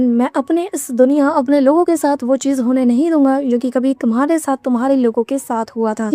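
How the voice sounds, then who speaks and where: Hoarse woman w/ a high voice doing a chatty anime recap, talks right to the viewers, dry sound. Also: dark tone, no room sound. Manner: chatty, addresses viewers as homies, mixes English words. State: hoarse throat. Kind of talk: casual anime episode recap.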